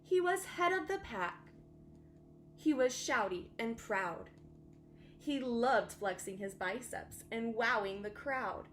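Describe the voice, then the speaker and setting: A woman reads a bedtime story like a teacher addressing young children. Her voice sits fairly high and she takes her time, with dramatic emphasis. Faint noise runs behind her. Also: lively intonation, takes it slowly, a bit bright. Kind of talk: expressive children's storybook reading.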